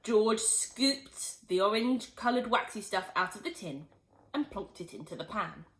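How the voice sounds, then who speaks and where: A woman teacher reading a children's book aloud in an expressive, animated way. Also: medium pitch, a little slow, rough recording. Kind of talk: storybook read-aloud.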